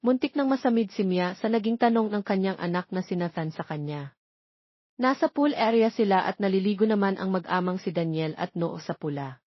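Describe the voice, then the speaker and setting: A woman's voice: medium pitch, fairly quick, dry recording, lo-fi sound. A woman with a Filipino accent reading scripted narration of a romance story as an audiobook narrator.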